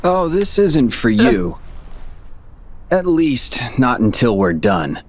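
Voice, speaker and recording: An actor playing a cold, sardonic young villain in a video game, speaking in a cool, taunting way over music. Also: male voice, medium pitch, big pitch swings, slow pace. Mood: smug taunting. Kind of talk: video game cutscene acting.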